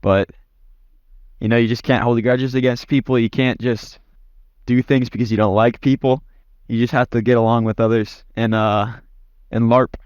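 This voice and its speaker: A man's voice: medium pitch, little pitch movement, normal speed, long pauses, a little mellow, clear, resonant tone, no echo, muffled recording. A man giving casual interview answers in a blunt, offhand way, swearing freely. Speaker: interview guest, former commander in an online roleplay community.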